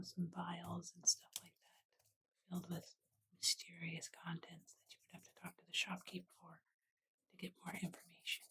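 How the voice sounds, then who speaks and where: A softly whispering ASMR host of unclear sex narrates a drawing gently and unhurriedly in short murmured phrases, close to the microphone. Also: mid-range voice. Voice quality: soft, breathy whisper. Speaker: ASMR art channel host. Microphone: close USB condenser microphone. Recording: noisy close mic with clicks and pen scratching. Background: hiss, clicks and writing sounds.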